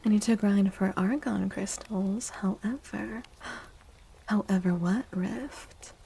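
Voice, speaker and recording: A woman livestreaming soft-spoken ASMR chat in a playful, unhurried way, with a breathy, often whispery voice close to the mic. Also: mid-range voice, steady tone, slight background noise, poor audio. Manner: playful, unhurried, repeats phrases. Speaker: livestream host.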